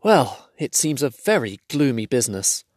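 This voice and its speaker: A man's voice: mid-range voice, sing-song, normal speed, darkish tone, no room sound, pitched above the performer's own voice. A man voicing a small, kindly male hobbit who talks in an anxious, self-deprecating way. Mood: gloomy. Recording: dry close recording.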